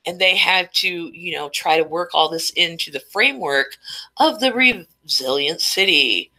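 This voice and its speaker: A woman's voice: fairly low pitch, unhurried, pushed and bright, low-quality recording. A woman hosting a casual live stream, chatty and rambling, stuffed up and losing her voice. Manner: rambling, chatty, reading chat names aloud.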